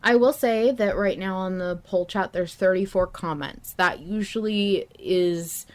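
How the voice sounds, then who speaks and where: A woman's voice: mid-range voice, a little slow. A woman podcast host in a casual fan discussion, rambling a little as she thinks aloud.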